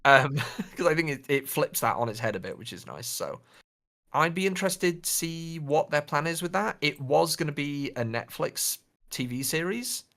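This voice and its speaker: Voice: medium pitch, expressive, moderate pace, a bit bright. A podcast co-host chatting casually in a playful, rambling way. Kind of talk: casual chat.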